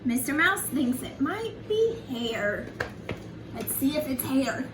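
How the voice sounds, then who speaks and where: A woman reading a picture book to young children like a preschool teacher, in an animated, sing-song, encouraging way with simple questions to her listeners. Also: sits high, slow. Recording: echoey room, distant mic, noisy background.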